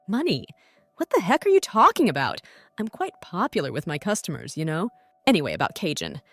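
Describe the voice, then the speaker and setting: Lively outspoken young aunt, voiced by a woman. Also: fairly low pitch, brisk, no room sound, soft background music, heavily acted. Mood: scornful. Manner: sharp-tongued, lively. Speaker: aunt who works as a hostess. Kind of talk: anime dub acting.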